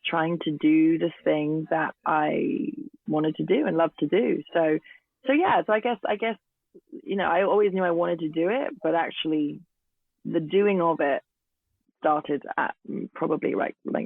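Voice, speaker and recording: A woman in her late thirties with an English accent, a guest actor, talking casually from a garden shed. Her voice is hoarse from a recent illness, and she hesitates, with many ums and restarts. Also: sits low, measured pace, no echo. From England. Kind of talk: casual interview chat. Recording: tinny phone-like remote line.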